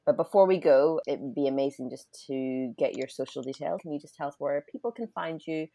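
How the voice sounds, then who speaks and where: Low-voiced laughing woman host. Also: a little fast, gravelly, mellow. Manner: chatty, laughs a lot. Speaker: podcast host. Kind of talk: casual interview hosting.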